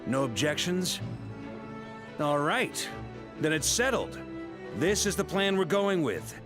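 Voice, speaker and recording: An actor playing a steady, commanding knight grand master in a video game, speaking with measured authority. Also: male voice, on the high side, music in the background. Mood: confident, commanding.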